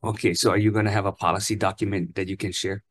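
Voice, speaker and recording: A man chairing a legislative committee hearing, asking brisk, pointed questions. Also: on the low side, little pitch movement, fairly bright tone. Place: hearing room.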